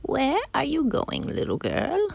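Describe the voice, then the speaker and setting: Woman acting a sly, sugary storybook wolf, pitched up. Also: wildly swooping pitch, measured pace, gravelly, dry recording, full character voice. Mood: sly, coaxing. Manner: coaxing, sugary. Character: sly, sweet-talking male wolf villain, feigning kindness.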